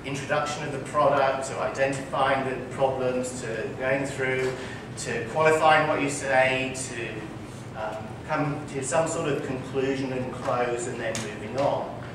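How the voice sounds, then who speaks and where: A man's voice: medium pitch, unhurried, hardly any pauses. A man, a physician, answering interview questions on a conference stage, speaking in an articulate, emphatic way and repeating his key points. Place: conference hall before an audience. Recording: echoey hall, distant mic, noisy.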